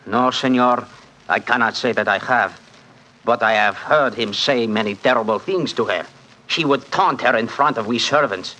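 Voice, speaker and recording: Man playing bright-voiced, grave Brazilian manservant. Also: mid-range voice, moderate pace, lightly acted, rough recording. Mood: grave, wary. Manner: formal, foreign phrasing. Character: grave Brazilian manservant, wary of his violent master. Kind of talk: 1940s radio acting.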